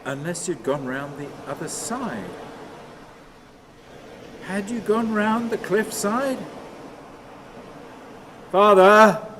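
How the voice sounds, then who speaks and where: An actor playing an anguished, haunted man in a radio play, halting and volatile as he pleads and broods aloud. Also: high voice, noisy background. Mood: brooding, muttering. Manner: halting, volatile, erupting from muttering into cries.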